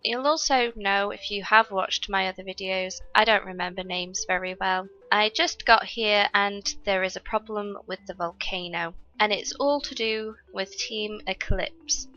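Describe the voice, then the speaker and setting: Bright-voiced woman narrating her gameplay. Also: mid-range voice, fairly even intonation, measured pace, hardly any pauses, lo-fi sound, faint music behind. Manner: chatty, explains each step to viewers. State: recovering from a cold. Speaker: gaming YouTuber. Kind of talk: casual gameplay commentary.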